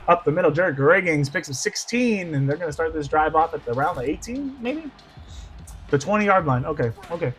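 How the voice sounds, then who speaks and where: A man doing play-by-play football commentary, going hoarse, who swings from quiet asides to shouted excitement. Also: a little high, fairly quick, soft background music.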